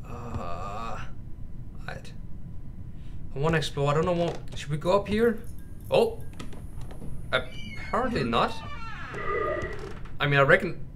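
A man's voice: on the high side, animated, takes it slowly, pauses often, music in the background. A man doing casual, nervous commentary over a horror game, chatting to his viewers. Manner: nervous, addresses viewers as bros and mate. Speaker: gaming YouTuber. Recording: clear background noise with game ambience.

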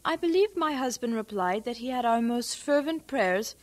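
An actress playing a calm, gracious missionary wife in an audio drama, polite, measured and gently firm. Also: female voice, on the high side, natural voice.

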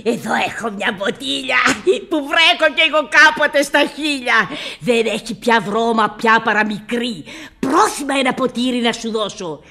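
A woman's voice: a little high, creaky, bright, forceful tone, heavily acted. An actress in a radio drama playing an old witch, chanting spells in an incantatory, declamatory manner. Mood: sly, obliging. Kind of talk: theatrical radio drama acting.

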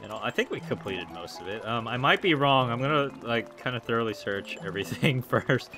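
A hoarse young man giving goofy, excitable commentary over his gameplay, full of ums and likes. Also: medium pitch, fairly quick. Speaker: let's play gamer. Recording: game music behind voice.

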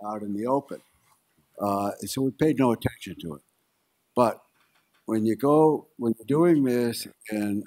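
An older man, a veteran, explaining in a halting way with many fillers and restarts on a handheld mic in a large lecture hall. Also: fairly low pitch, darkish tone. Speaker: veteran panelist. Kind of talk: explaining from the floor at a panel.

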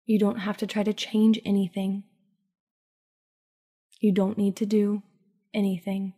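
Female meditation guide: dark, relaxed tone, very even pitch, soothing and hushed, leaving long pauses. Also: slightly rough. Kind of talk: guided sleep meditation, scripted narration.

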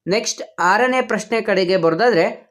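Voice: medium pitch, moderate pace, a bit bright. An online exam-prep teacher and channel host presenting a quiz lesson to viewers, in an instructional manner with repeated phrases. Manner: repeats questions and answers, instructional.

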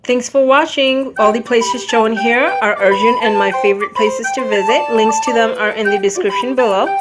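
Narrating woman over loud music. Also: fairly high pitch, fairly even intonation, normal speed, low-quality recording. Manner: friendly, quizzing the viewer. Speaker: vlog host. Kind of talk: scripted narration.

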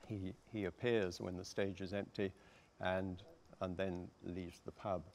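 Older man, low halting voice. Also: flat delivery, gravelly. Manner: halting, deliberate, hesitant. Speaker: panellist, radio adapter. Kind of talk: explanatory panel talk. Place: theatre stage, seated panel. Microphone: lapel mic.

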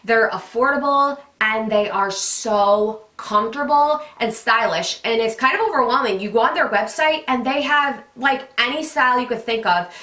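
A woman's voice: medium pitch, sharp tone, reverberant. A woman in her thirties chatting to camera about gift picks, gushing and filling with "like" and "um", a little nasal from being stuffed up. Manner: chatty, gushing, many fillers. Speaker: beauty vlogger. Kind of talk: casual product recommendations to camera. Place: pink bedroom studio with vanity mirror and lit tree.

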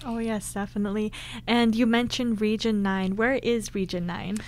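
Clear-voiced woman hosting a radio interview. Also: medium pitch, fairly even intonation, normal speed, dry recording. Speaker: radio show host. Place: radio studio.

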